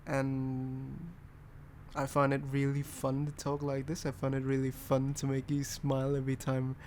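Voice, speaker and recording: A young man from Indonesia with an altered British accent, talking softly and rambling in a boyfriend ASMR roleplay. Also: medium pitch, slight background noise. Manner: soft, rambling, self-deprecating.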